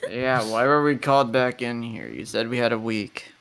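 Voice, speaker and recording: A man playing a blunt, exasperated young mercenary in tabletop roleplay, talking haltingly. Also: medium pitch, vocal fry, fairly bright tone. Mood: incredulous.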